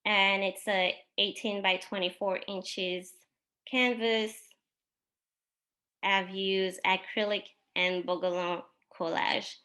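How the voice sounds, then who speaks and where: A woman whose first language is French, possibly from Mali, presents her own artworks in an unhurried talk, pausing often with ums. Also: medium pitch, steady tone, bright, forceful tone. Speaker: exhibiting artist.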